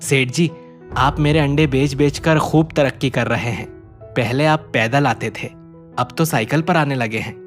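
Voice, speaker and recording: A man's voice: medium pitch, a little slow, soft background music, close to an everyday voice. A man voicing a poor, humble, obliging village egg seller in a cartoon, with a deferential, explaining manner. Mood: humble, mildly complaining.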